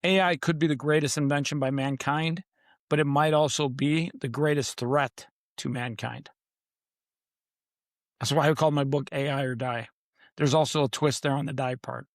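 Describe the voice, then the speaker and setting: A man's voice: fairly high pitch, fairly even intonation, moderate pace, no room sound, clean studio sound. A man who is a podcast guest and author, talking in a confident, emphatic way. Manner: emphatic, confident, repeats "literally". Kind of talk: casual podcast interview.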